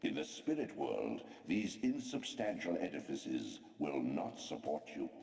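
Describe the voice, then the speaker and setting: An actor playing an ancient godlike spirit in a video game, giving grave, oratorical exposition in a deep, gravelly voice. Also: male voice, wildly swooping pitch, a little slow, darkish tone, full character voice. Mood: solemn, commanding. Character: ancient godlike spirit, male, solemn, commanding mentor. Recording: large echoing hall, slightly distant, faint noise.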